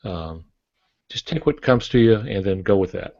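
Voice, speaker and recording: An older man co-hosting a podcast, giving firm opinions in casual talk with pauses mid-thought. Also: on the low side, animated, vocal fry, a little mellow, dull audio. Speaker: podcast co-host.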